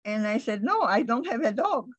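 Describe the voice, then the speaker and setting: Older Polish-accented woman, halting. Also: on the high side, big pitch swings, no room sound. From Poland. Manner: halting, restarts often, light laughs. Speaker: interviewee. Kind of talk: recalling her past in conversation.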